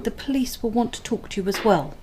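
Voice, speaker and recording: Woman acting no-nonsense medic lady, swoopy highish voice, radio play, bit of background noise. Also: expressive, natural voice. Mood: brisk. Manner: brisk, no-nonsense. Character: brisk, no-nonsense female hospital medic. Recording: faint background ambience noise.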